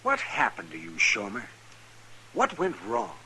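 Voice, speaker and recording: An actor playing a brisk, dry but kindly frontier doctor in an old-time radio western. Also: male voice, on the low side, wildly swooping pitch, sharp tone, heavily acted. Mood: coaxing. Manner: dry, bossy, coaxing. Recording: hissy old radio recording.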